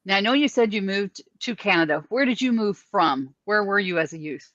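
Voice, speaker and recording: A woman's voice: medium pitch, lively intonation, fairly quick, fairly bright tone, no echo, dull audio. A woman hosting a conversational interview, reacting with short affirming interjections and asking follow-up questions. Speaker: host, interviewer.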